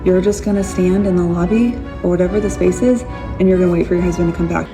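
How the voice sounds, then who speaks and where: A woman's voice: on the low side, fairly even intonation, fast. A woman giving matter-of-fact advice in a social media clip. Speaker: religious influencer. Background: music.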